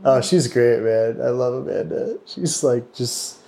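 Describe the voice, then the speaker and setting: A man's voice: mid-range voice, a little slow, gravelly, dark tone. A man chatting casually as an interviewer, with a loose, rambling, slangy and often profane way of talking. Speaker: interviewer, podcast host. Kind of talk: casual chat.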